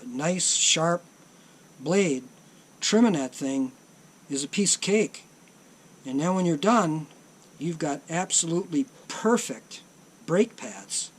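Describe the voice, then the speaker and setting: A middle-aged man explains a repair step by step in an unhurried, plainspoken way, stopping often on ums and uhs. His voice sits a little high for a man, and he talks very slowly. Light background noise hangs under the recording. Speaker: tape deck repair technician. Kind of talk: workshop demonstration.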